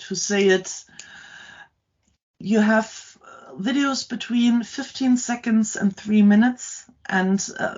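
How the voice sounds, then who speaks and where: Slow, halting middle-aged woman. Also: medium pitch, muffled recording. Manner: halting, many ums and restarts. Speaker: guest, content creator. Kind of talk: casual interview chat.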